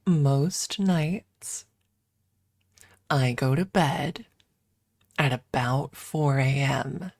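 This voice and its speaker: A woman streamer chatting casually in a soft, hesitant ASMR style with playful self-deprecation, close to the microphone. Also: very deep voice, extremely slow, long pauses, dry recording. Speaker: ASMR livestreamer. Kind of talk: ASMR, casual rambling chat.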